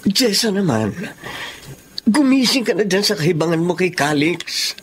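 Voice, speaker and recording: An actor playing a jealous, frustrated suitor in a radio drama, reproachful and insistent. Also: male voice, high voice, animated, a little slow, slight background noise. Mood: reproachful, hurt. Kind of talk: Tagalog radio drama acting.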